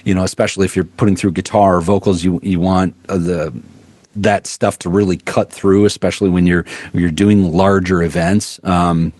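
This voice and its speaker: Low-voiced male product rep at a trade show, chatty and halting, dry sound. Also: level tone, a little mellow, dry recording. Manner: explanatory, many fillers and restarts. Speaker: product specialist. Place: busy trade show floor.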